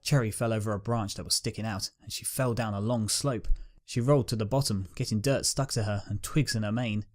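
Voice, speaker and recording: A man's voice: lowish voice, steady tone, brisk. A man narrating a dramatic scripted story as its reader.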